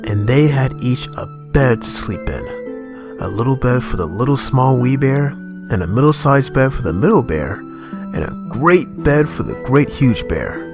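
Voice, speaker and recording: Man, storybook narration, expressive, mid-pitched, widely varied intonation, faint music. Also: measured pace. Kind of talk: scripted children's storybook narration.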